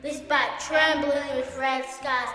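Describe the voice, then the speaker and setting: A young girl reciting a poem in a solemn, measured way. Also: sits high, fairly even intonation, sharp tone, echoey room, in a large hall, faint music behind. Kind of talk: poetry recitation.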